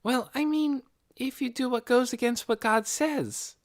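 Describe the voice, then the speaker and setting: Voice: medium pitch, measured pace, voice pushed up, lightly acted. A narrator voicing an earnest, inquisitive young girl with an insistent, questioning manner in an audiobook. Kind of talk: audiobook character dialogue.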